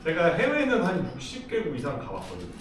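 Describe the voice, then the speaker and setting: A man's voice: a little high, huge pitch swings, quick pace, recorded from a distance, a little hiss or hum. A middle-aged man from Seoul giving a casual, chatty lecture, telling stories with frequent laughs. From Seoul, South Korea. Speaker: lecture speaker. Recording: echoey hall, speaker off-mic.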